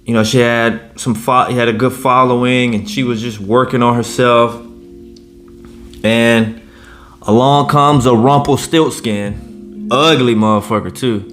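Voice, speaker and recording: A man in his late thirties talks slowly and deliberately in a mid-pitched voice, reacting with blunt, profane indignation. Faint guitar music plays behind him. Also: fairly even intonation, fairly bright tone. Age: 30s. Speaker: commentary vlogger. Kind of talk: reaction commentary.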